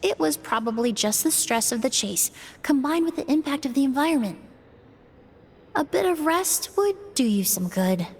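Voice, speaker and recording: A woman voicing a sharp, analytical young female investigator in a game, explaining in a calm, measured way. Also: high-pitched, slight background noise. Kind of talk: voiced game dialogue.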